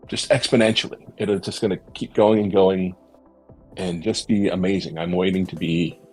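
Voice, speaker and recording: A man's voice: deep voice, breathy edge, rough recording. A man hosting a podcast, talking in an earnest, thoughtful way and searching for his words in a casual discussion. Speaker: podcast host.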